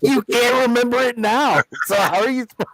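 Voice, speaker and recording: A man's voice: very high-pitched, a little fast, a bit bright. A man wisecracking in a casual podcast chat.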